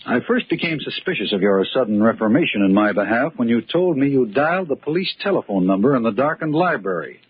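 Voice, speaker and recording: A calm, clever murder suspect. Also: male voice, mid-range voice, breathy edge. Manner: calm, measured explaining. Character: Steve Raymond, man accused of murder, calm and clever. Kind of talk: 1940s radio acting. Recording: muffled old radio recording with faint hiss.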